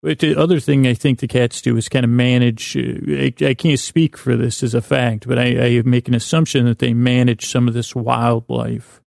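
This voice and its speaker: A middle-aged man hosting a sleep podcast, rambling hesitantly and often trailing off mid-thought in a gravelly voice. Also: mid-range voice, flat delivery, moderate pace, few pauses, a little mellow, dry recording, clean studio sound. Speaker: podcast host.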